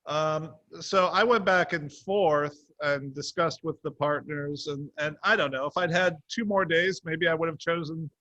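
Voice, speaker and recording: A man's voice: on the high side, unhurried, few pauses, bright, forceful tone, no echo, lo-fi sound. A man answering interview questions casually as a show's co-creator, rambling and hesitant, with self-deprecating asides. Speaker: TV series co-creator, interview guest.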